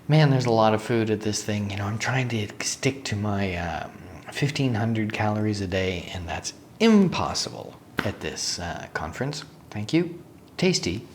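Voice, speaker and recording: A man with a slightly raspy voice giving a casual, unscripted recap for a vlog, pausing to search for words and drifting into asides. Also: fairly low pitch. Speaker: vlogger, software developer. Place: hotel room. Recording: faint steady room noise.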